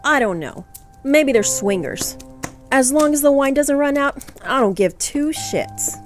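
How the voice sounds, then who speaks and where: A snarky, wine-loving guest in a horror audio drama. Her voice is fairly high, and she is blunt and sarcastic over clearly audible music. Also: lively intonation, measured pace. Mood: tipsy, dismissive.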